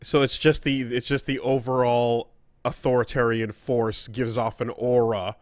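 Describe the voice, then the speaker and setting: A man's voice: mid-range voice, level tone, a little slow, no echo. A man co-hosting a podcast in casual conversation, reacting and summing up. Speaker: podcast co-host. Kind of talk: casual chat, listening and summing up.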